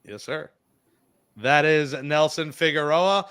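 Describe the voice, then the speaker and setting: A man's voice: on the high side, takes it slowly, a bit bright, clear, resonant tone. A man hosting a casual sports podcast, joking and poking fun at himself as he stumbles over foreign words. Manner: joking, self-mocking, fumbles foreign words. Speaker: podcast host.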